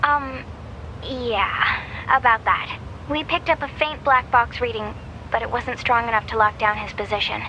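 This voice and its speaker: Bright, high-voiced android operator. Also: female voice, fairly even intonation, a little slow, muffled recording, slight background noise. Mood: earnest briefing. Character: earnest, caring young female android radio operator. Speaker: military operator. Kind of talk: video game voice acting.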